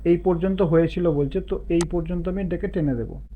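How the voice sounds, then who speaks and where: Phone-like, higher-pitched man giving a tutorial. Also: a little fast, slight background noise. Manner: explains while working, thinking aloud. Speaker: tutorial host. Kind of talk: step-by-step software tutorial, casual spoken instruction. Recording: muffled phone-like narow-band sound.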